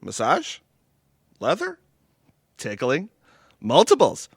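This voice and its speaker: A man's voice: fairly high pitch, huge pitch swings, slow, vocal fry, husky, no room sound, studio quality, heavily acted. A man playing an eager, nosy, cheeky young man of twenty-four in a comedic audio drama. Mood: curious. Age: young adult.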